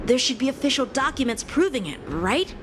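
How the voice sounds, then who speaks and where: A woman's voice: sits high, big pitch swings, a little fast, background noise. A woman voicing an earnest, inquisitive young officer in a video game, with a polite, questioning manner. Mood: inquisitive, serious. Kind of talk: scripted video game dialogue acting.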